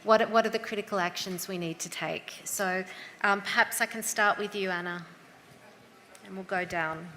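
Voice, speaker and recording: A woman's voice: medium pitch, steady tone, moderate pace, bright, forceful tone, big-room sound, a little hiss or hum. A woman moderating a conference panel, speaking with frequent "you know" and "um" fillers.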